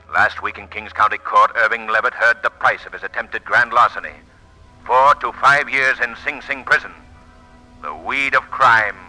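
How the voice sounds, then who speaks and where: An actor playing a suave, knowing gentleman sleuth in a 1940s radio drama, speaking in a measured, theatrical way on an old recording. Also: medium pitch, low-quality recording. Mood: menacing, mocking.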